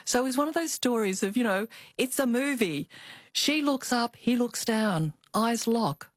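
An older woman with an Australian accent, telling personal memories as an interview guest in a thoughtful, expressive way. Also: a little high, measured pace, no echo. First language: Cantonese. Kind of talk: reflective personal storytelling.

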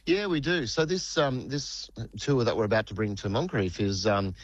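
A middle-aged man, a band's lead singer, talking in a relaxed, rambling way with lots of fillers. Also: mid-range voice, moderate pace, no room sound, highs cut off. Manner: relaxed, rambling, many fillers, says "mate". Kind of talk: phone or studio interview.

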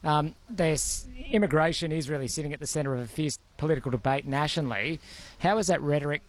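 Male radio presenter, slightly high voice, smooth scripted delivery. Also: a little fast. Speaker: radio host.

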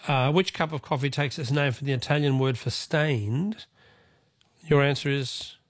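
A man's voice: mid-range voice, no room sound, studio quality. A man with an Australian accent hosting a live phone-in radio quiz in a chatty, jokey manner. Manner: chatty, jokey, quick to chuckle.

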